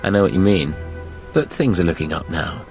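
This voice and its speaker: An actor playing a self-assured, suave male secret agent in a video game, speaking in a composed, measured way. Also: low-pitched, animated. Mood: cool, suave. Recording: music under the voice.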